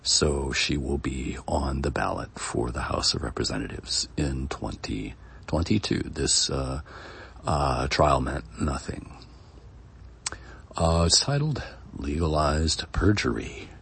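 A man introducing his own poem as a podcast host, in a halting, deliberate way. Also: extremely low pitch, expressive, gravelly, a bit bright, husky. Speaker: poet and podcast host reading own work. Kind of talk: spoken introduction to a dramatic reading.

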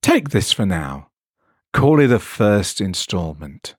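A kindly, insistent old woman from an audio story, as a male reader acts her. Her pitch leaps up and drops away as she coaxes in a familiar way. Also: very animated, takes it slowly, breathy edge, no echo, clean studio sound, full character voice. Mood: coaxing. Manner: familiar, coaxing. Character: kindly, insistent elderly woman, mysterious stranger.

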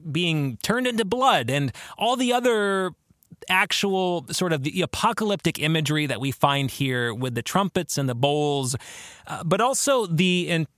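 A man hosting a podcast, explaining theology in an informal monologue with a halting, thoughtful delivery and emphasis on key words. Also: fairly high pitch, lively intonation, fairly bright tone, no room sound, clean studio sound.

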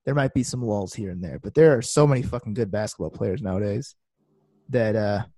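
A man with a mellow, darker tone, chatting in a jokey, excitable way and cursing casually. The recording is close and dry. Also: fairly low pitch, a little fast, no echo. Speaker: podcast co-host. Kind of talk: casual sports chat.

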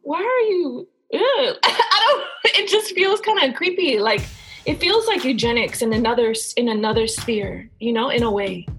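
Higher-pitched woman, informal interviewer. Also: lively intonation, fairly bright tone, faint music behind. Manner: informal, halting, rephrases questions mid-thought. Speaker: host, interviewer. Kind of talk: casual conversational interviewing.

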